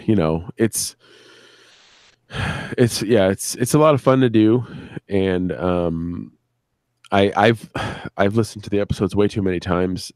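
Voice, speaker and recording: A man's voice: sits low, a little mellow, dry recording, studio quality. A man talking casually on a live stream as an audio drama sound editor, rambling and often restarting his phrases.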